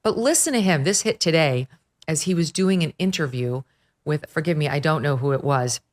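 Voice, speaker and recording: Sarcastic woman host, lowish voice. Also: moderate pace. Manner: sarcastic, emphatic and opinionated. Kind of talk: political talk show commentary. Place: at the beach.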